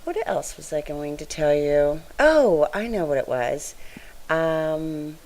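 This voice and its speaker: Soft, raspy, low-voiced woman. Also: animated, takes it slowly. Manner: soft-spoken, chatty, straining to be heard. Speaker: scrapbooking channel host. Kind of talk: casual voice-over narrating a craft process.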